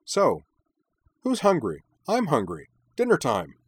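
A man's voice: mid-range voice, big pitch swings, normal speed, no room sound, pitched above the performer's own voice. A man voicing an exuberant, playful young woman racer in an audiobook, gushing and theatrical. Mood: breezy cheer.

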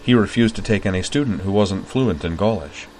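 A man's voice: fairly low pitch, a little fast, a little mellow, a little hiss or hum, natural voice. A man doing a dry, formal young swordswoman. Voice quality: dry, precise, formal diction. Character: composed young woman, fencer, dry and formal.

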